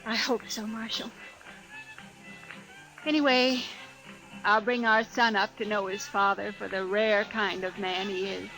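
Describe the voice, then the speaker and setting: An actress playing an earnest, strong-willed American frontier wife in an old radio western, composed and direct. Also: female voice, medium pitch, fairly even intonation, a little slow, pauses often, bright, forceful tone, poor audio. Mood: worried, earnest. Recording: old radio broadcast with music behind.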